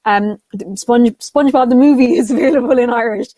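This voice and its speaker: A woman's voice: fairly high pitch, a little fast, mellow, a little hiss or hum. A young woman speaking enthusiastically as a panelist on an online call, with many fillers and restarts. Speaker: panelist, content creator. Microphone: video call.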